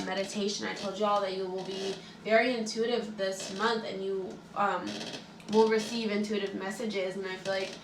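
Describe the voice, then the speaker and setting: A chatty woman lays out tarot cards for her viewers, relaxed and full of little fillers. Her nose is a bit stuffy and her throat a little sore from allergies. The sound is echoey, with faint room hum underneath. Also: medium pitch, far from the mic. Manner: chatty, relaxed, many likes and ums. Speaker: tarot reader and astrologer. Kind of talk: casual tarot reading for viewers.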